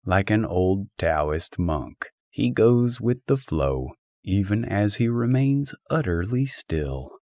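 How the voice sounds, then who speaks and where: A man reading a humorous philosophical essay as a scripted audiobook, in a wry and expressive way. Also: on the low side, slow pace, hardly any pauses, dry recording, studio quality. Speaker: audiobook narrator.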